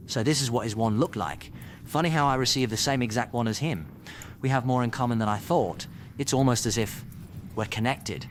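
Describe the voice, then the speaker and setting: An actor playing a clever, troubled time traveller in an audio drama, talking to himself with intense questioning. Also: male voice, medium pitch, slight background noise, natural voice.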